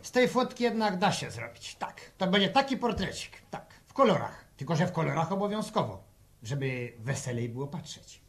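Fussy, hesitant travelling portraitist. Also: male voice, fairly high pitch, very animated, moderate pace. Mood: businesslike. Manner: hesitant, repetitive, chatty. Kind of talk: 1960s Polish radio drama acting. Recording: old 1960 radio drama recording.

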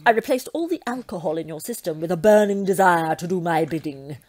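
A woman's voice: lowish voice, expressive, fairly quick, darkish tone. A woman playing a vain, imperious lady devil with theatrical menace in an audio comedy drama. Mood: exasperated, imperious.

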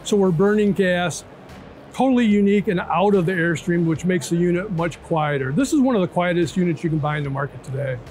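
A man's voice: sits high, brisk, a little mellow, slight background noise. A man in his fifties presenting a product in an interview, explaining step by step and checking in with the listener. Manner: explanatory, checks in with "right?" and "okay?". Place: large indoor trade show floor. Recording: music bed under trade show talk. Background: music.

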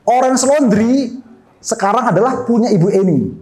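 Energetic man speaking at a seminar. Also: sits high, moderate pace. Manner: energetic, gesturing, asks the audience questions. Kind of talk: motivational business seminar talk. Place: meeting hall with seated audience. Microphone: handheld microphone.

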